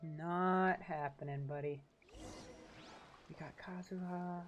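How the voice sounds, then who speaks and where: A man's voice: high voice, fairly even intonation, takes it slowly, lo-fi sound, soft background music. A man streaming a game, giving casual, joking reactions as he plays.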